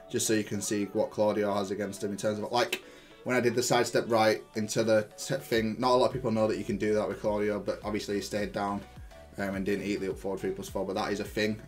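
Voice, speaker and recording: A man giving explanatory gameplay commentary as a player, thinking aloud through his match in gaming jargon. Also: lowish voice. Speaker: gaming YouTuber and player analysing own match.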